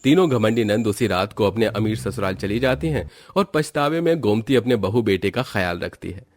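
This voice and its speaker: A man's voice: on the low side, lively intonation, normal speed, no room sound, clean studio sound. A man narrating a scripted family story as a storyteller.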